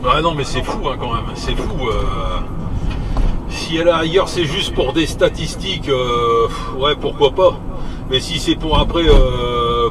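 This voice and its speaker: Slangy man over loud vehicle noise. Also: medium pitch, recorded from a distance. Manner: slangy, hesitant with long drawn-out hums, grumbling, mild swearing. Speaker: working delivery driver on a radio contact. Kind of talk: casual radio chat between drivers.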